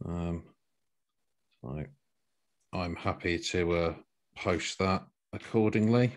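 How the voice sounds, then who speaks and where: A man chairing a work meeting on a video call, speaking with hesitant pauses. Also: low voice. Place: small home room, video call.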